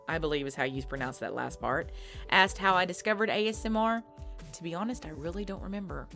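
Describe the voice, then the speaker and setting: A woman who makes ASMR audio, answering viewer questions in a soft, thoughtful casual talk with frequent little restarts. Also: fairly low pitch, vocal fry. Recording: faint background music.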